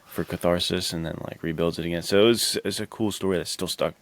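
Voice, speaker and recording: A man's voice: low voice, steady tone, fast talker, no room sound. A man co-hosting a podcast, chatting casually about movies in a slangy, enthusiastic way. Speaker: podcast co-host.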